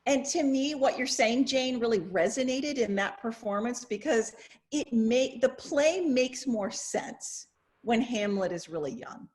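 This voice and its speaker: A woman's voice: on the high side, lively intonation, a little slow, darkish tone. A woman chatting informally and effusively as she hosts a discussion over a video call. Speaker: host, English professor leading a discussion. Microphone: video call microphone.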